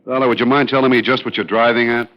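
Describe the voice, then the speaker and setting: Guy doing a blunt fast-talking cop, low voice, muffled old radio. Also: flat delivery, natural voice. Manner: blunt, brisk, no-nonsense. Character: blunt, brisk male police lieutenant. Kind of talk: 1950s radio drama acting. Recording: dull lo-fi old radio broadcast with faint music.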